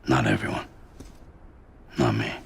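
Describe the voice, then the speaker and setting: Game role: bleak, gruff loner courier, extremely low pitch, heavy gravel, terse understated delivery. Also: male voice, fairly even intonation, measured pace, exaggerated voice. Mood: bleak, resigned. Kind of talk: naturalistic video game cutscene acting.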